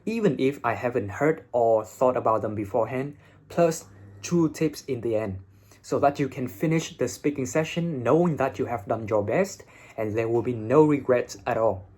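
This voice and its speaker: A young man from Vietnam with a Vietnamese accent and a slightly husky voice, giving blunt, informal English-learning advice and swearing freely, recorded on a phone. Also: mid-range voice, moderate pace, a little mellow. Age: young adult. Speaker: English teacher and video host. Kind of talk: casual advice talk to camera. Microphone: phone, no separate microphone.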